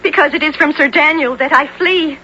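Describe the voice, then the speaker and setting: An actress playing a frightened, slight young runaway lad, pleading hesitantly in archaic speech in a 1970s radio drama. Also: female voice, sits high, a little fast, sharp tone. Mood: fearful. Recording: dull, slightly noisy old radio broadcast.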